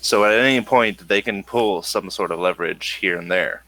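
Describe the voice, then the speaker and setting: A man narrating as game master, explaining the game's world in a halting, improvised way. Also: sits low, pushed and bright, dry recording. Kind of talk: improvised narration and lore exposition for a tabletop game.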